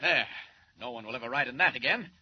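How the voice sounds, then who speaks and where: An actor playing a confident superhero in a 1940s radio drama, calm, commanding and politely taunting. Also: male voice, medium pitch, quick pace, lightly acted. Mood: cool, mocking. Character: confident male superhero, coolly mocking wrongdoers. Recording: muffled noisy old radio recording.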